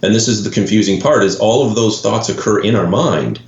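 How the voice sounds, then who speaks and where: A man with a Canadian accent talks through ideas as an interview guest, working through his thoughts with examples and false starts. His voice sits a little low. The recording is roomy and slightly dull, with a lo-fi edge. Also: a little fast, creaky. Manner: thoughtful, restarts phrases, explains with examples. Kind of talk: explanatory interview talk.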